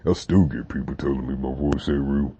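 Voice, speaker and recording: Casting: young man with an extremely deep, husky voice, laid-back vlog chat, dull lo-fi sound. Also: fairly quick. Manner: relaxed, rambling, with fillers and slang. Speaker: vlogger. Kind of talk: casual talk to camera about deep voices.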